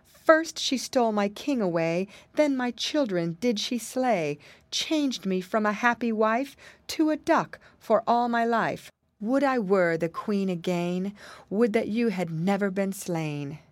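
A woman voicing a grieving mother duck, once a queen, who laments in a high, keening rhyme. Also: animated, takes it slowly, dry recording.

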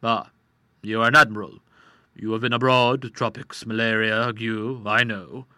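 A man's voice: on the low side, little pitch movement, moderate pace, pushed and bright, clear, resonant tone, no room sound, studio quality. A man voicing a fat, greasy quack doctor who speaks fussily and tut-tuts with mock concern. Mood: fussy. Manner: fussy, tut-tutting and ominous.

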